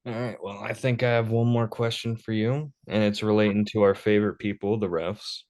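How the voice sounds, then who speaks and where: Interviewer guy, lowish voice, casual, kinda hesitant with his likes and uhs. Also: level tone, brisk, darkish tone. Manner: informal, with hesitant fillers.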